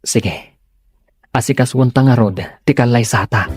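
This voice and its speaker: A man's voice: medium pitch, unhurried, music in the background, close to an everyday voice. A man playing a sincere, determined young suitor in an audio drama. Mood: earnest, determined. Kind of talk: Ilocano audio drama acting.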